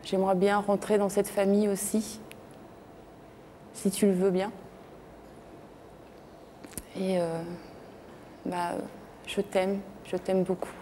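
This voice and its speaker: A woman guest speaking to her father on a TV reunion show, halting and hesitant. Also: medium pitch, a little hiss or hum. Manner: halting, hesitant, flat delivery. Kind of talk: emotional personal address.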